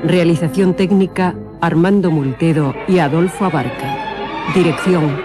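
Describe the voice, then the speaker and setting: Credits announcer for radio drama, formal read, mid-range pitch, prominent music bed. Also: fairly even intonation, measured pace. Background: loud music.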